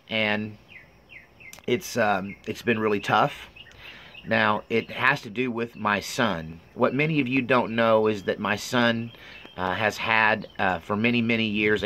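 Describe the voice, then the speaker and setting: Middle aged guy, lowish but bright tense voice, halting earnest personal vlog talk, bit of background noise. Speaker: vlogger. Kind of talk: personal update monologue, unscripted talk.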